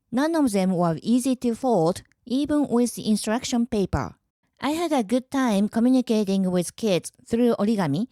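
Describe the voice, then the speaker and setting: A woman from Japan hosting a podcast, calmly explaining in a measured, clearly enunciated way. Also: a little high, no room sound, clean studio sound. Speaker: podcast host. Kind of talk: scripted explanatory podcast monologue.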